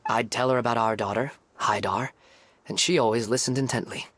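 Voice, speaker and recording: An actor playing an earnest, wistful widowed father in a video game, speaking in a measured, reflective way. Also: mid-range voice, steady tone, a bit bright, dry recording. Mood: wistful, fond. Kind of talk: voice-acted game story dialogue.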